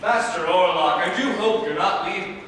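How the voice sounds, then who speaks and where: A woman's voice: fairly low pitch, normal speed, slight background noise, lightly acted. A woman playing a sly, menacing male schemer who taunts and breaks into song in a musical stage reading. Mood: taunting. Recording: echoey hall from far off, loud music.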